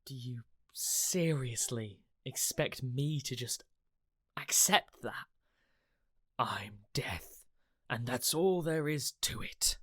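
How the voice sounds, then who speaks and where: A woman's voice: a bass voice, expressive, slow pace, husky, full character voice. A woman acting in a radio play as an earnest, idealistic immortal, questioning and pleading with emotional investment. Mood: frustrated, challenging. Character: earnest, idealistic, challenging immortal counterpart of Death.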